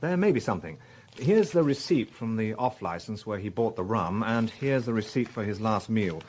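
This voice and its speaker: A man's voice: lowish voice, animated, normal speed, a little mellow, husky. An actor playing an inquisitive, dogged male police inspector in a radio drama. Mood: brisk, thoughtful. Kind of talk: radio drama dialogue and narration.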